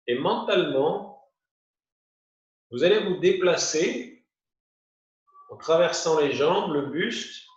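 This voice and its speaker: A man teaching yoga nidra, guiding a meditation in short, unhurried phrases with pauses. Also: a little high. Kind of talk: guided meditation, spoken instructions. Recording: muffled, roomy, distant from the mic.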